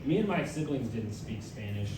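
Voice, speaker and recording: A young man performing a stand-up comedy set, rambling and self-mocking, with loud punchlines and audience laughter. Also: fairly low pitch, sing-song, moderate pace, distant mic. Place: comedy club stage. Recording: echoey room taped from afar, noisy.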